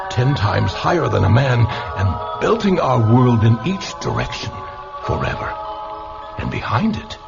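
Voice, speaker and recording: An actor playing a talkative, opinionated old philosopher in a radio drama, musing theatrically with rhetorical questions. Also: male voice, mid-range voice, vocal fry, heavily acted. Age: older. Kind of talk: vintage-style radio drama acting. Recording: dull lo-fi radio drama recording. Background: music.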